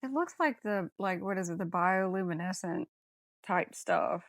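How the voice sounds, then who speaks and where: A woman's voice: lowish voice, lively intonation, moderate pace, dry recording. A woman co-hosting a podcast, talking casually about film in a blunt way with some mild swearing. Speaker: podcast co-host.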